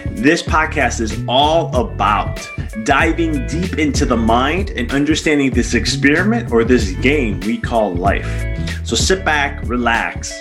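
A man's voice: medium pitch, expressive, unhurried, music in the background. A man speaking as a podcast host, introducing the show and chatting with a guest in a conversational way. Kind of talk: podcast hosting, conversational interview.

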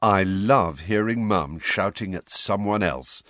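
A man's voice: low-pitched, slow pace, fairly bright tone, no echo, studio quality. A man voicing a cheeky, brash teenage boy in an audiobook, with a breezy, mocking manner. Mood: amused.

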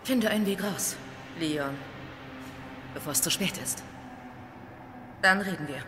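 Actress as cool, secretive investigator in reverb. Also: female voice, on the low side, a little slow, background noise, lightly acted. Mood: cool, warning. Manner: cool, controlled. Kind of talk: audio drama acting. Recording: hall reverb, prominent music bed.

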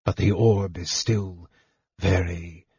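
A man voicing a frail young male mage in a fantasy audiobook, quiet, terse, cool and secretive. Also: sits low, steady tone, slow pace, slightly rough, no echo, dull audio, pitched below the performer's own voice, exaggerated voice. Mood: guarded, weary. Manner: quiet, terse, faint delivery.

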